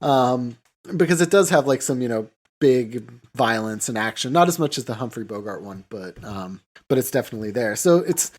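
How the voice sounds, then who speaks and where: Halting, colloquial man hosting a podcast. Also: medium pitch, lively intonation. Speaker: podcast host. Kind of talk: casual film discussion and recap.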